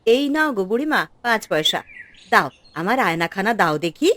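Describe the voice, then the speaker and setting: A woman playing a trusting village housewife and mother in a fairy-tale cartoon, expressive and full of exclamations. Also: medium pitch, fairly quick. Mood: curious, delighted.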